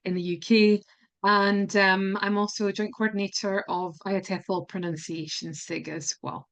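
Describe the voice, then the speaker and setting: A woman's voice: mid-range voice, level tone, moderate pace, a bit bright. A Scottish woman answering interview questions as a pronunciation specialist, candid and earnest, laughing at herself now and then, on an online call. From Scotland.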